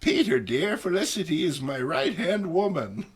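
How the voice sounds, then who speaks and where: A trusting, doting old woman from a comic book reading, acted out by a man. The voice is high and swoops widely, with a fussy, motherly, theatrical delivery. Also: sing-song, normal speed. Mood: doting, coaxing.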